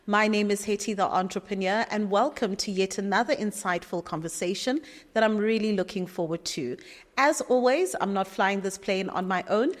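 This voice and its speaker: A woman's voice: mid-range voice, normal speed, long reverb tail. A woman hosting a business podcast, upbeat and encouraging, with frequent short affirmations. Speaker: podcast host. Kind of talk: hosted interview.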